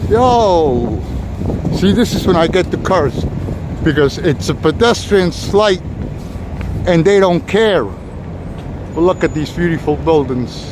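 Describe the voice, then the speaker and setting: A man's voice: a little high, animated, takes it slowly, pauses often. A man giving a rambling, casual walking tour to his viewers while walking down a city street. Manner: chatty, rambling, talks to viewers. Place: outdoors on a busy city street. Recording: noisy street recording. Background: street traffic noise.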